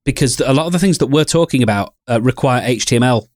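A man's voice: medium pitch, quick pace, no echo, studio quality. Man co-hosting a podcast, explaining his views. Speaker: podcast co-host. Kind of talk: casual podcast discussion.

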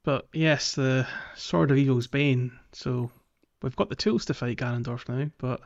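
A man's voice: mid-range voice, steady tone, unhurried, vocal fry, lo-fi sound. A man hosting a casual podcast chat, hesitant and often correcting himself. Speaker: podcast host.

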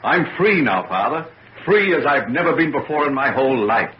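An actor playing an earnest, obsessive young gentleman scientist in an old radio serial, with formal theatrical delivery. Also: mid-range voice, animated, moderate pace, lightly acted. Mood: exalted. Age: young adult. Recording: dull, noisy old radio recording.

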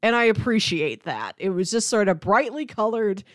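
A woman's voice: mid-range voice, big pitch swings, normal speed, no echo, clean studio sound. A woman co-hosting a podcast, chatting casually about movies in an informal way, often dropping in "like". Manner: informal, peppers speech with "like". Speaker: podcast co-host.

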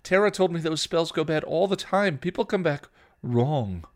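A man performs an angry teenage girl in a storybook drama, hurt and defiant toward an older sibling. The pitch is lifted high, with stammering, emotional accusations. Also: lively intonation, normal speed, close to an everyday voice. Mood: tearful, angry.

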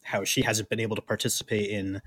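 A man co-hosting a casual sports podcast, explaining things in a wry, measured way. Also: fairly low pitch, little pitch movement, fairly bright tone, dry recording. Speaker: podcast co-host.

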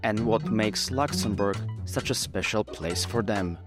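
A man hosting a podcast, reading a scripted introduction and asking measured, deliberate questions. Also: fairly low pitch, over loud music. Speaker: podcast host.

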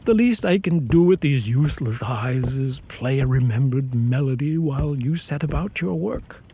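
A man playing a kindly, grateful, blind elderly father in a radio play, with unhurried, old-fashioned phrasing. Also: medium pitch, lively intonation, dark tone, lightly acted. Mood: fond, amused.

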